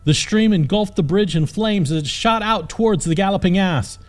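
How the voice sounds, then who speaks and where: A man's voice: sits high, normal speed. A man narrating a scripted comic fantasy audiobook in a dramatic storytelling manner.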